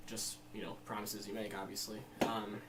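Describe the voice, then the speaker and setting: A young American man with a lowish voice talks through his opinions informally. He rambles and hesitates, thinking as he goes. He sounds set back from the microphone, with faint noise around him. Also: level tone, normal speed. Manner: rambling, hesitant, reflective, peppered with "you know". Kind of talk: informal interview answers. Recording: distant mic, faint room noise.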